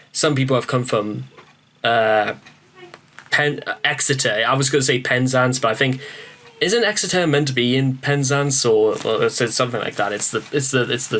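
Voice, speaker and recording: A man from northeast England talks to camera in a loose, rambling way, cracking jokes at his own expense and swearing casually. He trips over restarts and keeps calling his viewers ladies and gentlemen. His voice sits at a middling pitch. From Newcastle upon Tyne, northeast England. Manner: joking, swearing, self-mocking, often stammers on restarts. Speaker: reaction video host.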